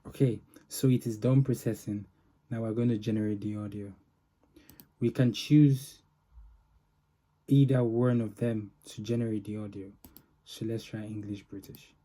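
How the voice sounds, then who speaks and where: Dark-voiced man presenting a software demo. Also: on the low side, fairly even intonation, normal speed, long pauses. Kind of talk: informal product demo walkthrough.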